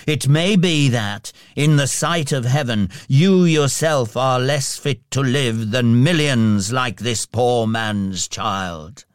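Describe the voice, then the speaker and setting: A man's voice: mid-range voice, slow pace, clear, resonant tone, dry recording, studio quality, lightly acted. A man voicing a stern, sorrowful male spirit in a storybook, making grave pronouncements.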